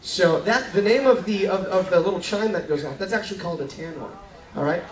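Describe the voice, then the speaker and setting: A man's voice: high-pitched, fairly quick. A man hosting a live amateur show, informally prompting his audience with a hesitant delivery. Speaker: host introducing an amateur show. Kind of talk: informal stage introduction to audience. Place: live show on cruise ship deck. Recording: echoey, distant mic, faint noise.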